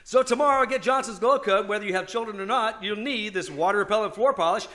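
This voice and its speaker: Casting: male actor as cheerful 1950s radio pitchman, high bright voice, polished and emphatic delivery. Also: fast. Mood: upbeat, promotional. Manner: polished sales patter, emphatic. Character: cheerful old-time radio announcer pitching floor wax. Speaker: announcer. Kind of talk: 1950s radio announcing, live re-enactment.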